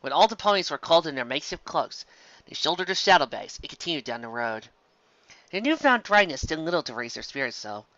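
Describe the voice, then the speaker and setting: A man reading a story aloud as an expressive amateur narrator, recorded in dull audio. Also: fairly high pitch, brisk, no echo.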